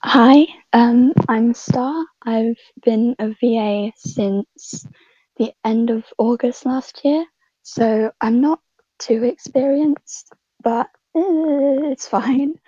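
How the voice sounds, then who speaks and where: Soft, high, slow-spoken woman, slightly dull audio. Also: husky, no room sound. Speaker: voice actor, podcast guest. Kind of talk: casual self-introduction.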